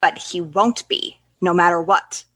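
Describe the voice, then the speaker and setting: A woman playing a capable, feisty space-station commander in a comedy sci-fi radio play, brisk, confident and quick to bristle. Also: fairly low pitch, steady tone, sharp tone, no echo. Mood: defensive, indignant.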